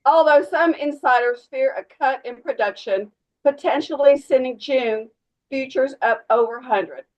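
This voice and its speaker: A woman's voice: high-pitched, moderate pace, muffled recording, close to an everyday voice. A woman playing a radio news anchor reading a formal, informational market report in a script read. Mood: matter-of-fact.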